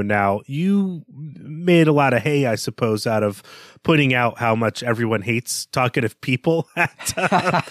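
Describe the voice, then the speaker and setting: A male podcast co-host talks through a book in a conversational, wandering way. His voice sits in a middle pitch and he drops wry asides among the analysis. The recording is dry and free of room echo. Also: a bit bright. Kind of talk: conversational literary discussion.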